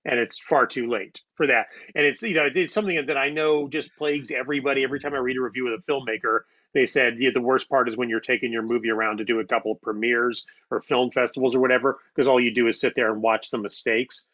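A man's voice: medium pitch, steady tone, fast talker, few pauses, a bit bright, dry recording, highs cut off. A man chatting in an interview, wordy and self-critical, thinking aloud. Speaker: audio drama writer. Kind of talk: casual interview chat.

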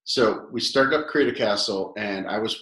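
Man, fifties, very low voice, chatty storyteller full of fillers; echoey room. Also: moderate pace. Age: 50s. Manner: animated, rambling storyteller with many fillers. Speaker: guest, toy company founder. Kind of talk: casual interview storytelling.

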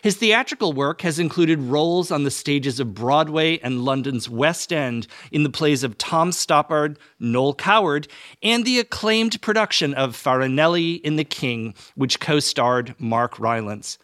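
A man's voice: on the high side, animated, measured pace, fairly bright tone, no echo, clean studio sound. A man hosting a podcast, speaking in an effusive, formal way with theatrical phrasing. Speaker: podcast host. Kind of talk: warm-up narration and interview. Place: club library for the talk.

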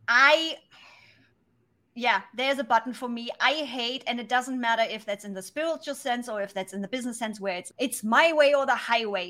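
A woman's voice: fairly high pitch, fairly bright tone. A woman hosting a podcast interview, chatting in a bubbly, exclamatory way. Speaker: podcast host. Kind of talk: casual interview chat.

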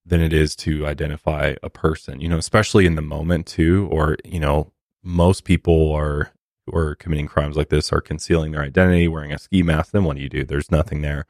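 Very deep-voiced man, dry sound. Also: level tone, a little fast, a little mellow, dry recording. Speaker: podcast co-host. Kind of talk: casual conversational commentary.

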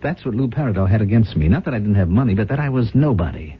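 Husky, restless, power-hungry man, phone-like sound. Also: on the low side, animated, fast. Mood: driven, grandiose. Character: ambitious, restless rich idler hungry for power. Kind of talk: 1970s radio drama acting. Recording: muffled, phone-like old radio broadcast.

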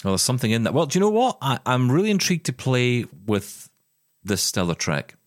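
A man's voice: mid-range voice, big pitch swings, brisk, no room sound, studio quality. A man co-hosting a podcast, chatting casually in a rambling way and often repeating words as he starts sentences. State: blind, describing progressive vision loss. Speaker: podcast co-host. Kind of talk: casual chat.

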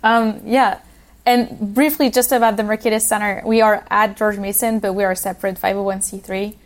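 A young woman from Switzerland speaks as a podcast guest, chatting easily and filling her pauses with ums and you-knows. Her pitch sits in a middle range. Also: fairly even intonation. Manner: conversational, uses fillers like um and you know. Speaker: guest, policy program manager. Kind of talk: casual interview talk.

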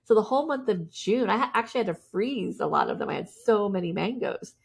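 A woman's voice: medium pitch, animated, a little fast, gravelly, husky. A woman guest candidly telling her life story in a casual interview, often laughing as she talks.

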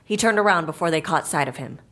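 A woman's voice: lowish voice, fast talker, fairly bright tone. A woman playing a cool-headed agency boss in an audio drama, terse and authoritative. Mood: grave, commanding.

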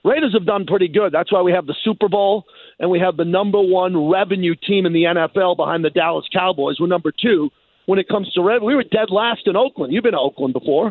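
A man with a notably high voice talks sports in an emphatic, animated way, hammering points home by repeating them. He is from New York and sounds like a radio guest. The sound is thin and narrow, like a phone line, with a dry, close quality. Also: quick pace, dry recording. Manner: emphatic, repeats phrases for effect. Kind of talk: animated sports talk interview.

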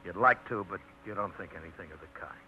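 Smooth-talking young trucker wooing his girl, real low voice, old muffled radio sound. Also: quick pace, bright, forceful tone, slight background noise. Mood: coaxing. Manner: coaxing, playful. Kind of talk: 1950s radio drama acting. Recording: muffled 1950s radio broadcast.

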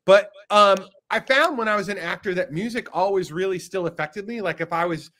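A middle-aged man from North Carolina, a composer guest, telling stories in a relaxed, easygoing podcast chat. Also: high-pitched, a little fast. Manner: relaxed, storytelling, laughs easily. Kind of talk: casual podcast interview.

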